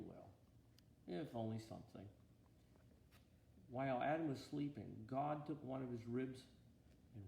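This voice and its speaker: A man reads a storybook aloud with a gravelly edge and very animated intonation. He paces it with deliberate pauses and slips in gentle asides to his listener. The room rings around him, with a faint layer of noise. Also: medium pitch. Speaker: bishop reading aloud. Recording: roomy echo, faint background noise.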